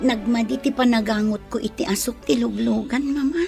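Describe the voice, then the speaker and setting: A young woman with a Filipino accent playing a grown daughter confiding in her mother in an audio drama, breathy-voiced. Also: a little high, normal speed, over music. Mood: earnest. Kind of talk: Ilocano audio drama acting.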